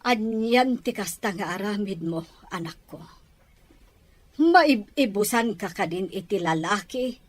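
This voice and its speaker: A woman's voice: medium pitch, lively intonation, takes it slowly. A woman acting a dismayed, reproachful mother in an Ilocano radio drama. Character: dismayed, reproachful mother scolding her daughter.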